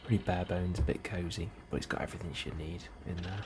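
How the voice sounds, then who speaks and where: A man in a soft, hushed ASMR role-play, speaking calmly and unhurriedly as a helpful colony immigration officer, close to the microphone. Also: deep voice. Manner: hushed, unhurried, sometimes dropping into whisper. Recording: clearly audible background ambience noise.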